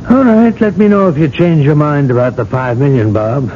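Radio play role: man playing elderly cardinal, measured, persistent, wryly needling, on a dull vintage broadcast. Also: natural voice. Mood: wry, challenging. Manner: measured, rhetorical, gently needling. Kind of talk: radio drama acting. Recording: muffled vintage radio broadcast, noisy rumble.

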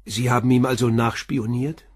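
A composed male police detective in a crime radio play, played by an actor. His voice is slightly husky and dry in the recording. He questions people in a probing, matter-of-fact manner. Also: medium pitch, level tone, normal speed, no room sound, lightly acted. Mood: calm, probing.

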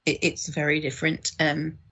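A female podcast guest with a deep, husky voice chats about books and audio production. She is expressive and enthusiastic in conversation. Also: moderate pace. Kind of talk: conversational literary discussion.

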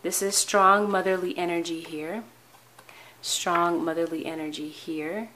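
A woman with a somewhat low, hoarse voice talks earnestly through a tarot spread, pausing and restarting her phrases. Her tone stays calm and steady. A faint hiss of room noise sits behind her words. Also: rough recording. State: hoarse, tired voice. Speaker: tarot reader. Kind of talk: weekly tarot reading to camera. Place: indoor tabletop with cards, voice off camera.

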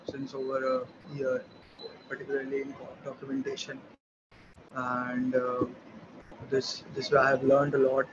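A man speaks in a flat, level tone over a video call, pausing often and repeating himself as he searches for words. His audio is dull and lo-fi, and clear background noise sits under his voice. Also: medium pitch. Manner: hesitant, many fillers and repeats. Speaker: mentee introducing himself. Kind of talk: casual video call chat.

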